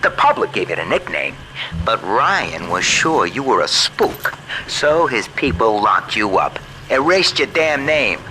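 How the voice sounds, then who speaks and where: A man's voice: on the low side, sing-song, unhurried, pushed and bright, a little hiss or hum, exaggerated voice, low-quality recording. A man playing a slick, folksy, scheming wheeler-dealer in a video game.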